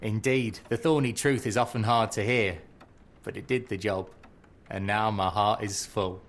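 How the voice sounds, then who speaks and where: A man's voice: lowish voice, normal speed, lightly acted. A man playing an eager, nervous, bookish fellow who speaks politely and hesitantly in a video game. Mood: flustered, cheerful. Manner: hesitant, stammering, courteous, excitable. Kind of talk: scripted video game cutscene acting.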